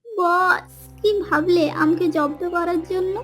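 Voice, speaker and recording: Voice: very high-pitched, fairly even intonation, measured pace, over music. An actor playing a small, whiny, cheeky and boastful henchman in a comedy cartoon. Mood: fawning, urgent. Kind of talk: Bengali comedy cartoon dubbing.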